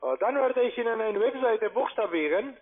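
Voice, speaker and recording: A man with a foreign accent giving a halting, formal phone sales pitch in broken, non-native phrasing. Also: lively intonation. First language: not German. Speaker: cold-calling account manager. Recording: tinny, band-limited phone line.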